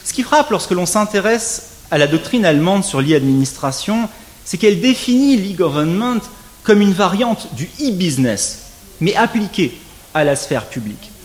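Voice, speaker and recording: Measured man giving a formal academic talk. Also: sits high. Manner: measured, wry asides, lively rising emphasis. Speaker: legal scholar giving a conference talk.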